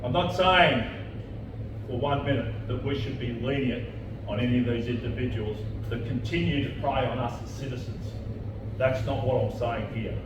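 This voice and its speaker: A man's voice: mid-range voice, moderate pace. A man giving an earnest campaign speech as a mayoral candidate, making his points in short, emphatic, repeated phrases. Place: large echoey function room. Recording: echoey room, distant muffled mic, background noise.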